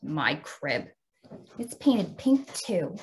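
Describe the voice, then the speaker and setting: A woman's voice: low-pitched, sing-song, drawn-out words, creaky, slight background noise, voice pushed down, exaggerated voice. Woman reading as an upset, emphatic little boy. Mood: sullen muttering. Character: upset little boy running away from home.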